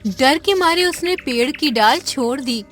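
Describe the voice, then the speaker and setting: A woman narrating a children's cartoon story in a deliberate storytelling manner. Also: sits high, expressive. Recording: narration over loud music.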